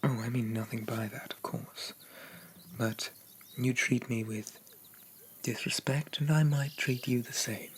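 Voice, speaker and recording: A haughty young demon prince , acted out by a man, speaks in a medium-pitched, unhurried voice that slips into whispers. He is formal, condescending and quietly possessive, in the style of an ASMR roleplay. Faint ambient noise sits behind him. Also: fairly even intonation, close to an everyday voice. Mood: prideful, veiled threat. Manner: pompous, formal, softly condescending.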